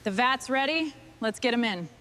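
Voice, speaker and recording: A woman plays a determined female mechanic with a fairly high voice. She talks in terse bursts, muttering to herself or directing a crew. Clear machinery noise runs behind her. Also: expressive, moderate pace, bright, forceful tone. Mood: steady, businesslike. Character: hands-on female heavy truck restorer, gritty and determined. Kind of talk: scripted work chatter. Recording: noisy, with vehicle and machinery sounds.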